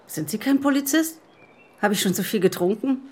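Husky, world-weary woman. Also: on the high side, lively intonation, fairly quick, darkish tone. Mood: wry, weary. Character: grieving, world-weary bereaved fiancée, woman. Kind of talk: German audio drama acting.

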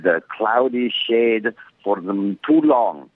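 A man's voice: fairly low pitch, expressive, takes it slowly, no echo, narrow, tinny audio. A man calling in to a radio show, joking around and slipping Spanish words into his talk. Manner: playful, mixes in Spanish words. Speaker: caller. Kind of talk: joking call-in chat.

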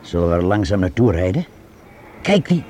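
Lively, low-voiced junior policeman. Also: normal speed. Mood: curious. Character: talkative male junior policeman, deferential to superiors. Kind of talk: radio drama acting in Dutch. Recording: radio play, faint outdoor street noise.

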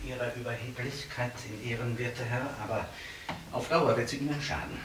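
An actor playing a pompous, fussily courteous neighbour and music snob in a radio drama, stiffly formal. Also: male voice, medium pitch, steady tone, fairly quick, echoey room, noisy background, close to an everyday voice. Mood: admonishing.

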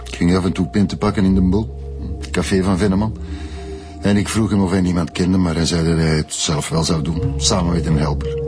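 Actor as a low-voiced crooked Flemish contractor. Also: male voice, fairly even intonation, a little fast, over music, natural voice. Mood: cynical, resigned. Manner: blunt, scornful, unrepentant. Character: crooked, cynical male building contractor, confessing murder. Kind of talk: radio drama acting.

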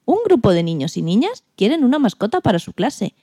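Woman voicing a mellow, expressive little guinea pig. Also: fairly high pitch, a little fast, no echo, clean studio sound. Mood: grumbling. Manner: expressive, exclamatory, plaintive. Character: indignant, timid male guinea pig telling his story. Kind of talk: children's radio drama storytelling.

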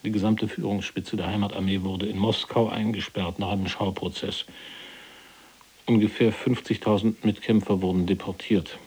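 Jailed resistance veteran, sober and quietly bitter,, lowish husky voice, measured radio drama delivery. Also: male voice, flat delivery. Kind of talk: German radio play acting.

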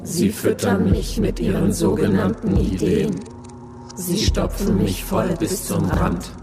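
An actor in a radio play voicing an all-controlling, brooding machine that speaks in a deliberate, imperious way. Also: mid-range voice, sing-song, over loud music. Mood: brooding, resentful.

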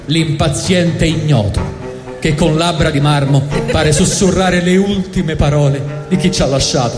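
A man with a Roman accent giving a mock-solemn, satirical live reading on stage. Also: a little high. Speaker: storyteller reading a comic monologue on stage. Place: live stage benefit event. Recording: echoey hall, live stage, music behind.

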